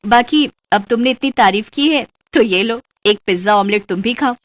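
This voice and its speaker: Woman playing a humble, high-voiced hardworking mother. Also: normal speed, no echo, muffled recording, close to an everyday voice. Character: humble, hardworking mother running a food cart. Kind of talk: Hindi moral-story cartoon voice acting.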